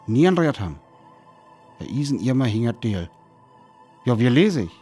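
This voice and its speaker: A man's voice: mid-range voice, sing-song, a little slow, dark tone, faint music behind. A man playing a weary survivor soberly recounting his lonely wandering in a radio play, with a North Frisian accent. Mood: sober, tense. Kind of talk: radio play narration and dialogue.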